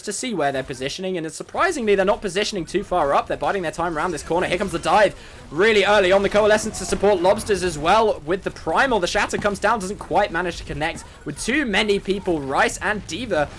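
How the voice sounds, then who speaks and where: A man's voice: high voice, a bit bright, slight background noise. Irish male esports caster. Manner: upbeat, laughs often. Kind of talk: live play-by-play commentary.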